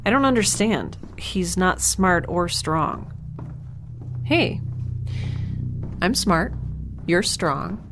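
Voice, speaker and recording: Curious blunt space girl, lowish voice, wry and rambly, sci-fi audio drama, noisy background. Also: lively intonation, very slow, pauses often, heavily acted. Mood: probing, scheming. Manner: wry, inquisitive, rambling, analytical. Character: giddy, curious, blunt young woman living alone in space.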